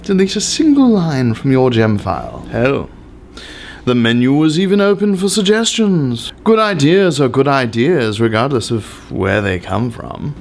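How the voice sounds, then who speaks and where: A man's voice: mid-range voice, a little hiss or hum. A man giving a pompous, theatrical dramatic reading of an essay with exaggerated emphasis.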